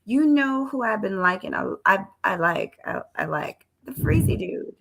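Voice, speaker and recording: A woman's voice: medium pitch, very animated, normal speed, creaky, crowd noise, poor audio. A woman in her forties hosting a live stream, chatting casually with frequent restarts and repeated words. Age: 40s. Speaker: live-stream show host.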